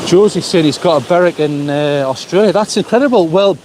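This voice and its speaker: A man's voice: on the high side, fairly quick, noisy background. A man from the North East of England, chatting with viewers in a rambling, talkative way while he walks a seaside pier. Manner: chatty, rambling, repeats words while thinking. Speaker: vlogger. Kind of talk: live-streamed walking vlog, chatting with viewers. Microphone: small mic on a phone while walking.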